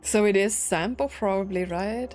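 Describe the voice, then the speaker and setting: Female game streamer, mid-pitched, informal live reactions, thinking out loud, music underneath. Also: normal speed. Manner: informal, thinking aloud, frequent filler "like". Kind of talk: casual live reaction commentary over gameplay. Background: game music and dialogue.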